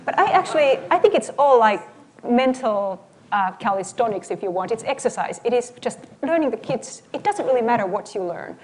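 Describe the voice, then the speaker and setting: A woman from Finland with a Finnish accent, speaking as a panelist in a thoughtful, lively way. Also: a little high, a little hiss or hum, poor audio. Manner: thoughtful, lively, quick to agree. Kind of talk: panel discussion. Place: event hall before an audience.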